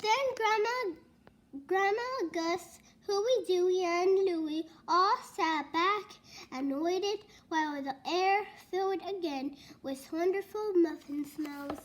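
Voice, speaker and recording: A woman reading a children's storybook aloud, sometimes halting and repeating words as she goes. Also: very high-pitched. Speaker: story reader.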